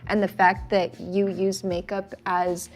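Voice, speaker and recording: Effusive woman with a mid-pitched voice. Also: flat delivery, a little slow. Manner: emphatic, effusive. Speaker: panel participant. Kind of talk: casual group discussion.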